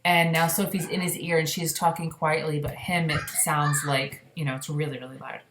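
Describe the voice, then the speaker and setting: Storyteller: woman, slightly low voice, children's read-aloud with explanatory asides, roomy echo. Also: little pitch movement, normal speed, noisy background. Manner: explanatory, addressing young listeners. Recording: echoey room.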